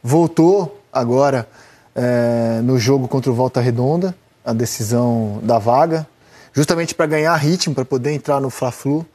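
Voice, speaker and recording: A man with a Brazilian accent hosting a televised football debate, brisk, calling on each panelist by name. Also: medium pitch, darkish tone. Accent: Brazilian Portuguese. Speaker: TV sports show host. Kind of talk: sports panel discussion.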